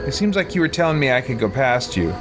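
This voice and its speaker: A man's voice: mid-range voice, sing-song, fast, music in the background. A man hosting a livestream, chatting casually as he plays in a hesitant, musing, wry way. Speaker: game streamer, host. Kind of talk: casual livestream gameplay chat.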